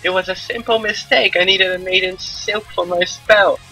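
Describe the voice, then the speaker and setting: A man plays a young spirit girl from a visual novel, raised in pitch and bright. She sounds proper, guarded and easily flustered, with music behind her. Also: steady tone, moderate pace, low-quality recording. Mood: defensive. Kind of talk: live amateur visual novel dubbing.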